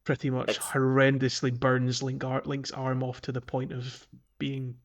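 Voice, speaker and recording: A man with a medium-pitched voice talks casually as a podcast co-host. His delivery is hesitant and rambling, circling round a point as in relaxed gaming chat. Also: unhurried.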